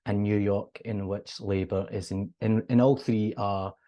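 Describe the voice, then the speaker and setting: A man's voice: fairly low pitch, steady tone, measured pace, dull audio. A young Scottish man, a PhD student, giving a friendly, earnest academic presentation over a video call. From Scotland. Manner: friendly, earnest, with casual fillers.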